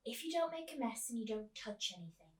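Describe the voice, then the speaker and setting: A woman voicing a busy, distracted father in a storybook, offhand and brisk. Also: on the high side, animated, close to an everyday voice. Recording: roomy echo, distant microphone.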